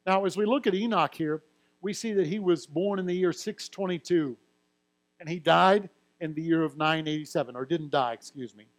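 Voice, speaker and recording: Higher-voiced male preacher teaching scripture. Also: normal speed, rough recording. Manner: reads genealogy aloud, then explains with light humor. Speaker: pastor. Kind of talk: sermon teaching through scripture.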